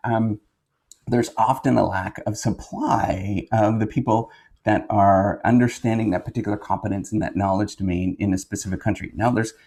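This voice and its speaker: Low, creaky Canadian man explaining casually. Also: steady tone, dark tone. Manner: halting, rambling, self-correcting explanations with frequent checking "right?". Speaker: professor and video host.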